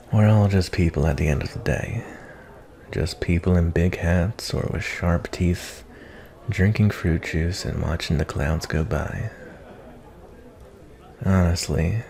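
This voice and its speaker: A man playing a gentle, reflective cowboy bounty hunter in a softly spoken fantasy ASMR roleplay, talking in a folksy, kindly, rambling way. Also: slow, long pauses, full character voice. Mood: warm, admiring. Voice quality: low, gravelly.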